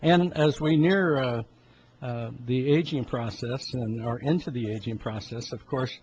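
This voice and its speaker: A man in his seventies giving an informal health talk in a halting, rambling way, often clearing his throat. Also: mid-range voice, darkish tone. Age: 70s. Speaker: health talk host, medical practitioner. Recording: dull lo-fi sound with background noise.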